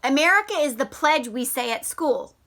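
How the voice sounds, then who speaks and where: A woman teacher reading a picture book aloud to children in a deliberate, expressive way, pausing to explain and ask questions. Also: high-pitched, a little hiss or hum. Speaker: elementary school teacher.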